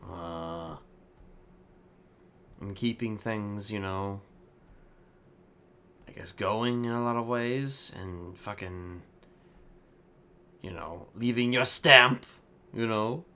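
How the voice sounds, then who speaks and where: A man improvising in-character roleplay chat, speaking haltingly with trailing pauses. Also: lowish voice, pushed and bright, slight background noise. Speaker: streamer playing a roleplay character.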